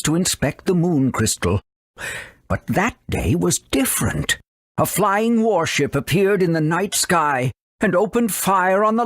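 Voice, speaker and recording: Elderly owl guardian, slow, husky, fussy but caring, theatrical video game character. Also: male voice, on the high side, fairly bright tone, dry recording, clean studio sound, full character voice. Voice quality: raspy, creaky.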